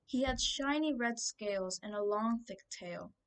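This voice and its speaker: A woman's voice: fairly high pitch, a little slow, smooth, distant mic. A twelve-year-old girl reading a children's storybook aloud as scripted narration. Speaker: book reader.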